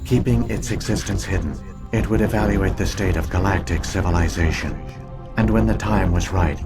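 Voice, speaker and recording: An actor playing an ancient alien virtual intelligence in a video game, speaking in a measured, formal, solemn and coldly logical manner. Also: male voice, low-pitched. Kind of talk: scripted video game voice acting, exposition. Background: game music.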